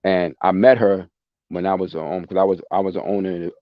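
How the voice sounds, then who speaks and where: A man's voice: low voice, steady tone, normal speed, no room sound. A man hosting a podcast, talking in a passionate, emphatic way and often repeating himself. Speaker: podcast host. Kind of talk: casual chat. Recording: dry, dull lo-fi sound.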